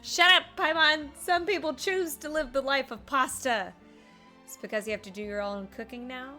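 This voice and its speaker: A woman streams a game in a bright, slightly high voice. She reacts casually and expressively, talking back to the characters in a playful way. Faint game music runs behind her. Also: moderate pace. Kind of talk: casual live reaction commentary. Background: video game music.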